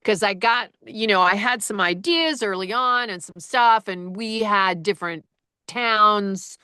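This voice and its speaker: A woman audiobook narrator with a low-register voice, chatting casually and rambling with lots of fillers and restarts. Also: measured pace, bright, forceful tone, clean tone, no echo, studio quality. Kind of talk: casual conversation.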